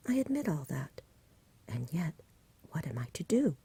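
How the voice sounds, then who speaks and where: A nervous country shepherd played by a woman frets in a breathy storybook whisper. The telling is agitated and rambling, full of rustic turns of phrase. The tone stays soft and mellow. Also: sits low, big pitch swings, normal speed, full character voice. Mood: bewildered, fretful. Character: frightened rustic shepherd father, fretful countryman.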